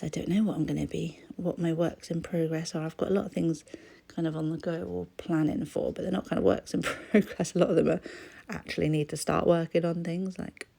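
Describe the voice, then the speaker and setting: A croaky-voiced woman, chatting casually as she crafts, often trailing into a whisper. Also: sits low, dark tone. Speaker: crafting vlogger. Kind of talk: casual voice-over chat while crafting.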